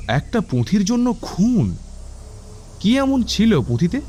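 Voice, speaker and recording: Inquisitive young man, audio drama. High voice, soft dark tone, wondering delivery. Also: sing-song, takes it slowly, slight background noise, full character voice. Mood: surprised, curious. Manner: questioning, wondering. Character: curious young man visiting, surprised and inquisitive.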